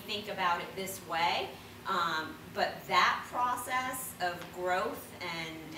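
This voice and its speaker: A middle-aged woman talking reflectively as a parent on a discussion panel. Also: medium pitch. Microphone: distant microphone. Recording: very echoey, distant, noisy room.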